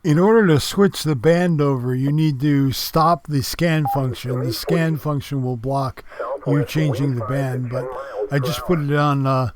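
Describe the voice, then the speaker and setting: A man's voice: medium pitch, a little slow, noisy background. A man giving an informal, explanatory product review in a casual narrating style.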